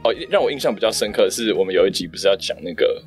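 Casting: male amateur voice actor, deep-pitched and quick, conversational delivery. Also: expressive, faint music behind. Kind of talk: casual chat.

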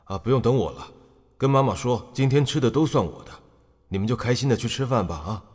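A man's voice: mid-range voice, fast talker, darkish tone, long reverb tail, natural voice. A man playing a busy, apologetic father in an audio drama, speaking to his child. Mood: apologetic, hurried. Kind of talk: Mandarin audio drama acting.

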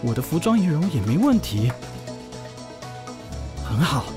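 Nervous, fussy young intern, lively high voice. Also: male voice, measured pace, over music. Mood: anxious, fussy. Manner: anxious, precise, self-reassuring. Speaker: voice actor in an audio drama. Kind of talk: audio drama monologue and dialogue.

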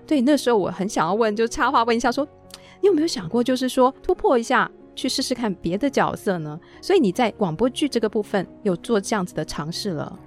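A woman's voice: a little high, lively intonation, fast, faint music behind. A woman with a Taiwanese Mandarin accent hosting a casual interview. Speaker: podcast host.

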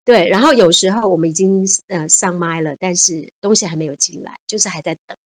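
Animated woman in her fifties. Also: lowish voice, fairly quick, dry recording. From Taiwan. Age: 50s. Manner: animated, laughs easily, many fillers. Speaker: guest, civil servant. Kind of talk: casual interview chat.

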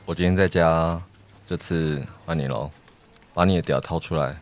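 A man's voice: a bass voice, fairly even intonation, moderate pace, soft background music. A man with a Taiwanese accent playing a domineering, taunting, impatient master in an audio drama. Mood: stern, commanding. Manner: commanding, taunting.

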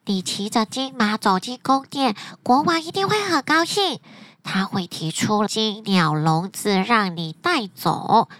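A woman's voice: on the high side, lively intonation, measured pace, fairly bright tone, no room sound. A woman voicing a clever, helpful little fox in a bedtime story, talking in a coaxing, instructive way. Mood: advising.

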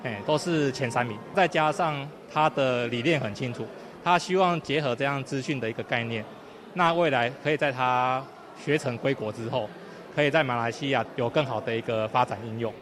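A man's voice: mid-range voice, a little fast, fairly bright tone. A man, an assistant professor giving an interview comment.

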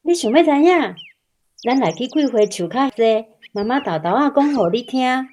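A woman acting a gentle, cheerful mother in a radio drama, speaking expressively with a Taiwanese accent. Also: high voice, moderate pace. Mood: warm, coaxing. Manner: animated, expressive. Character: gentle, cheerful mother talking to her child.

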